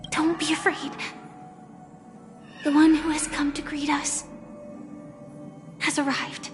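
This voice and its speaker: A tender, wistful young woman from a video game. Her voice sits high and soft, with breathy edges. She speaks slowly and gently while music plays clearly behind her. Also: little pitch movement. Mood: gentle, reassuring. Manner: soft, breathy, unhurried delivery. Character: gentle, wistful young woman with a secret armored alter ego.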